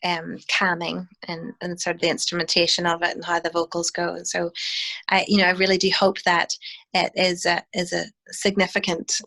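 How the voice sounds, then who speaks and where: A woman's voice: lowish voice, monotone, moderate pace, gravelly, bright, forceful tone, low-quality recording. A Northern Irish woman in her thirties, a hymn writer talking through an interview over a video call, thoughtful with many restarts. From Northern Ireland.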